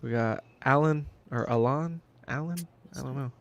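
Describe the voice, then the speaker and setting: Smooth-voiced joking male co-host. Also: mid-range voice, moderate pace, no echo. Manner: loose, joking, swears casually. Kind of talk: casual livestream chat.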